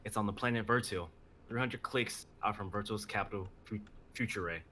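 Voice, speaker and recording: A man's voice: on the low side, a little fast, bright, forceful tone, slight background noise. A man playing a reckless, dutiful soldier in a dramatic reading of a sci-fi script. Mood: resolute. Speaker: reader of stage directions and a character.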